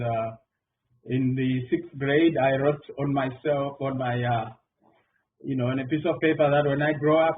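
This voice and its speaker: A man's voice: medium pitch, distant mic. A middle-aged man from Zimbabwe, a mathematician on a panel, talking with many fillers and restarts. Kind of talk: round table answer. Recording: phone-like online call audio with faint noise.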